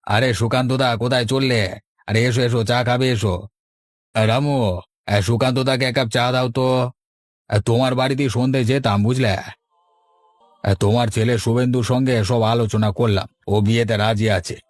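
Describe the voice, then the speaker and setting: A man's voice: mid-range voice, steady tone, normal speed, pauses often, close to an everyday voice. A man playing friendly, folksy village men and fathers in a cartoon, speaking in an avuncular way. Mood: eager. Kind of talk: animated cartoon dialogue.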